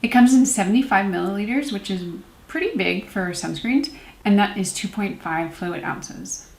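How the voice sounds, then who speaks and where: A woman in her thirties talks casually to camera as a beauty vlogger. Her voice sits at a medium pitch, and her delivery is informal and chatty, with self-deprecating asides. The sound is noticeably roomy, with the echo of an indoor space. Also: moderate pace, a little mellow. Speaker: beauty vlogger, series host. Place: small room at home, indoors.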